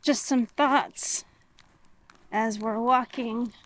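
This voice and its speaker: A woman chatting casually to viewers in an unscripted, musing way with trailing pauses, as a hiking vlogger recording outdoors. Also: on the high side, fairly even intonation. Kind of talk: casual vlog chat to viewers. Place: outdoors, on a walk and at a tent setup. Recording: noisy outdoor camera recording with natural ambience.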